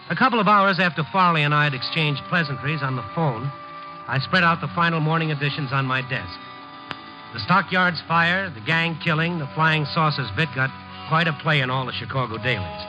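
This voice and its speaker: A man's voice: on the high side, lively intonation, moderate pace, close to an everyday voice. A man playing a wry, weary newspaper columnist in a 1950s radio drama. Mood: wry, rueful. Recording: dull 1950s radio broadcast, music behind.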